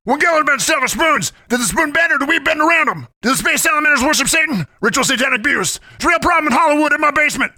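An unhinged male conspiracy radio host rants in a parody talk show. The voice is extremely high and rattles along very fast, frantic and rambling, in a dry close sound. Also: lively intonation, pushed and bright, no echo, heavily acted. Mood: manic ranting.

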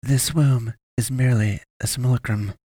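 A man's voice: medium pitch, steady tone, normal speed, warm tone, slightly rough, dry recording, studio quality, pitched above the performer's own voice, lightly acted. A man voicing a cold, stiffly formal alien woman disguised as a pastor, speaking in clipped, precise phrases.

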